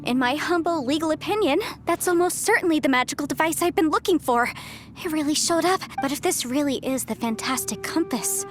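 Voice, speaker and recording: A woman voicing a perky, confident young lawyer and sorceress in a video game, articulate and breezy with a playful legal turn of phrase. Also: sits high. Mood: curious, surprised.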